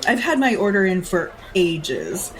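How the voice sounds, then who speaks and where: Catty rich society predator, slow theatrical drawl, super animated pitch, audio drama. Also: female voice. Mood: teasing, menacing. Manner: theatrical, drawling, haughty and teasing. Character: predatory, jaded society woman who borrows bodies.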